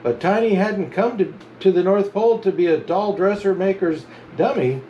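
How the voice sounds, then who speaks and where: A man reading a children's storybook aloud as a Santa storyteller, with animated, chuckling narration. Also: high-pitched, moderate pace, highs cut off, slight background noise. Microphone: camera mic.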